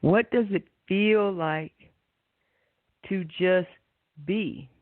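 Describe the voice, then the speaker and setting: A woman hosting a spiritual talk and guided meditation, speaking in an unhurried, reflective way and often checking for agreement. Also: fairly low pitch, dry recording. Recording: tinny narrow phone-like line.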